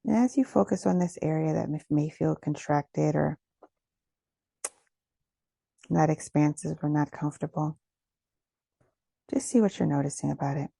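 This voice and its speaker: A woman leading a guided meditation in a gentle, soothing, unhurried manner, with a soft, breathy voice and pauses between phrases. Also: deep voice, no room sound.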